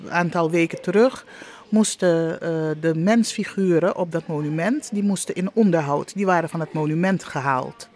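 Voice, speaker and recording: A woman answering as an interview guest, speaking spontaneously and haltingly, repeating words for emphasis. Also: lowish voice, darkish tone. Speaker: interview guest, monument project leader.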